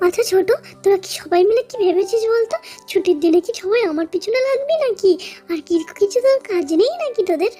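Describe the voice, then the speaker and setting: A chatty, affectionate village sister voiced by a woman in a puppet show. Her voice is very high, with a teasing, coaxing way and faint music underneath. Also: lively intonation, quick pace, dark tone.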